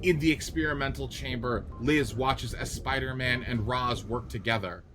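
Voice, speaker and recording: Guy narrating a radio play, dramatic, mid pitch, music playing behind. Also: normal speed. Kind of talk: scripted radio play narration, reading stage action.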